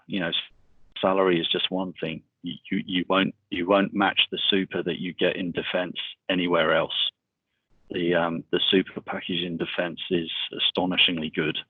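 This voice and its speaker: A middle-aged man giving a casual webinar talk, speaking in a monotonous, rambling way with many fillers over a video call. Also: low voice, husky, no echo. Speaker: webinar speaker on military transition. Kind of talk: casual presentation. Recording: tinny video call audio.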